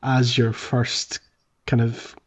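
A man's voice: medium pitch, monotone, slow pace, breathy edge, muffled recording. A man hosting a podcast and chatting casually about a video game. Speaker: podcast host. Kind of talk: casual gaming podcast chat.

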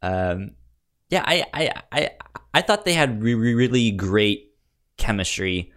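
A man co-hosting a film podcast in casual chat, with a halting delivery that often repeats and restarts words. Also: lowish voice, expressive, fairly bright tone. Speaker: podcast co-host. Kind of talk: casual chat about films.

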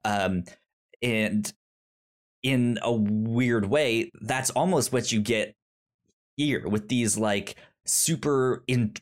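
A man's voice: medium pitch, takes it slowly. A man co-hosting a casual film podcast, stuttering on first sounds and restarting often as he searches for words. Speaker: podcast co-host.

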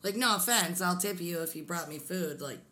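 A young woman from Pennsylvania with a low voice, co-hosting a casual chat and telling stories with frequent laughter. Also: normal speed, bright, forceful tone, a little hiss or hum. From Pennsylvania, USA. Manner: chatty storyteller, laughs often. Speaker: podcast co-host.

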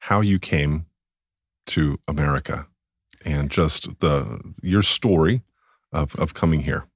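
A man's voice: a bass voice, takes it slowly, breathy edge, no room sound, clean studio sound. A man hosting a podcast, interviewing a guest in a conversational style.

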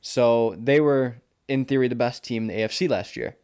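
A man's voice: medium pitch, normal speed, darkish tone, smooth. An American man co-hosting a sports podcast, talking casually and informally like a confident fan. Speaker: podcast co-host.

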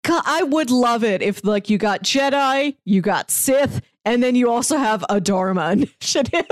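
A woman's voice: fairly high pitch, animated, moderate pace, no room sound, clean studio sound. A woman co-hosting a podcast, chatting casually about pop culture in a talkative way full of "like". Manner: chatty, frequent "like". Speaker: podcast co-host.

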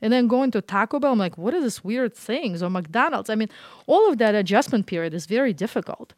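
A middle-aged woman talks in a relaxed interview, telling lively stories and acting out her own past reactions. The sound is close and dry, with no room echo. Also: fairly high pitch, brisk. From Russia. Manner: animated storytelling, acts out quoted reactions. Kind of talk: casual interview chat.

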